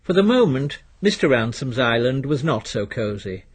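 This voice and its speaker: A man reading literary fiction as a narrator, in a measured, precise and dry storytelling manner. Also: medium pitch, big pitch swings, breathy edge. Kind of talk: scripted literary audiobook narration.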